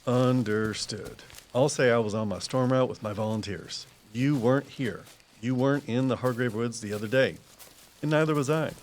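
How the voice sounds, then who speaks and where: A man acts out a guarded tree expert in an audio drama. His voice is mid-pitched and natural, with a plainspoken, practical delivery. He comes across as a loyal, careful colleague. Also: normal speed, a little hiss or hum, close to an everyday voice. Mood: resolute, protective.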